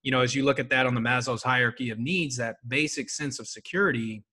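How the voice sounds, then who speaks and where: A man hosts a casual podcast conversation. He talks in an easy, relaxed way, responds to his guest, and affirms what he hears. His voice sits at a middle pitch. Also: quick pace, slightly rough. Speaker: podcast host. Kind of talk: casual interview talk.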